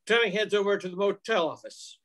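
An older man narrates a radio mystery from a script. His voice is high for a man. The delivery follows old-time radio storytelling, captured on a video call. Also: fairly quick, very noisy. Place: home room, video call. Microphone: computer mic on a video call.

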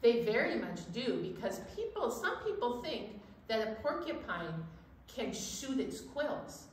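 A woman's voice: a little high, unhurried. Middle aged lady doing kids storytime host talk, mic far off, bit of noise behind her. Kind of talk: children's storytime chat. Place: indoor storytime set at a library. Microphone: distant camera microphone. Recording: roomy, distant mic, steady background noise.

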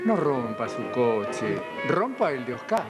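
A man's voice: medium pitch, big pitch swings, slow. An elderly man in his seventies with an Argentine accent, reading old-time radio advertisements as an announcer. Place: television studio. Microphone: hanging studio microphone. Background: music.

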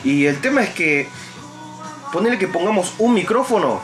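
A young Argentine man hosts a relaxed group conversation. His voice sits on the high side. He is picked up by a camera across the table in a small room, with music clearly audible behind him. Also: moderate pace. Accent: Argentine Spanish. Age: young adult. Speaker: host. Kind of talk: casual group chat, discussing viewer survey. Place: small tatami inn room, indoors.

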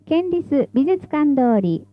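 A woman's voice: sits high, big pitch swings, takes it slowly, no room sound, dull audio. A woman reading scripted tram announcements in a formal, polite, carefully enunciated way, as the stop announcer.

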